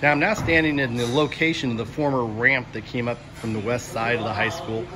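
A man's voice: medium pitch, steady tone, a little fast, crowd noise. A man giving an unscripted, matter-of-fact walking tour as a school superintendent, explaining things as he goes, recorded on a handheld phone indoors. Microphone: handheld phone held by the speaker while filming. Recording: loud construction site noise. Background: loud building-site noise.

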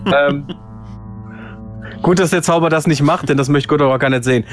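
A man's voice: fairly high pitch, moderate pace. A man playing a tabletop role-playing game, switching between casual chat and narrating his character's actions. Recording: faint music behind the voice. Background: faint music.

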